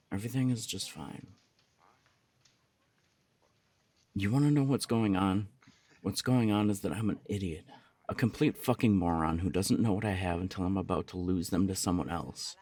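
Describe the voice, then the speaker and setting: Man acting a deep-voiced, creaky jealous punk roommate. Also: animated, warm tone. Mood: agitated, defensive. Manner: confessional, halting, swearing, emotionally worked up. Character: jealous young punk roommate, lovesick, flustered, hot-tempered. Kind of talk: ASMR roleplay audio drama, one side of a dialogue.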